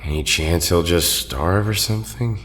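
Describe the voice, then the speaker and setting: A man playing a steady young team leader, wry and sympathetic, in an audio drama. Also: low voice, moderate pace.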